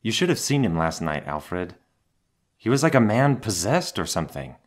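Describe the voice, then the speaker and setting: Man as lowish-voiced brooding hero mentor. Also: very animated, normal speed. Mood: serious, concerned. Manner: serious, questioning, restrained. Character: stern, brooding male masked hero mentor.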